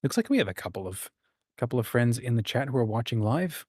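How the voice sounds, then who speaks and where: A man's voice: medium pitch, big pitch swings, quick pace, mellow, husky, no echo. Casual man hosting a livestream, dry sound. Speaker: host of a writing community livestream.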